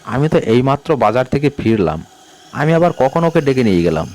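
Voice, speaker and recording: A man's voice: mid-range voice, very animated, fairly quick, a little mellow, lightly acted. A man voicing an old village grandfather, the eldest of five brothers, asking urgent, baffled questions in a raised voice.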